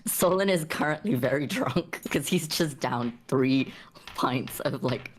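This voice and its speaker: A woman with a strikingly deep voice. She narrates roleplay casually, stopping and repeating herself as she works out each action. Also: animated, a little slow, slight background noise. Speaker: tabletop RPG player.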